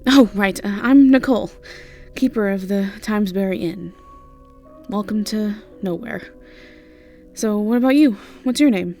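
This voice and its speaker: A woman voicing a brisk, chatty, easily irritated witch innkeeper in an audio drama, speaking casually with hesitations and swearing. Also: medium pitch, warm tone, soft background music, pitched above the performer's own voice, natural voice. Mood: upbeat, casual. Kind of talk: audio drama character acting.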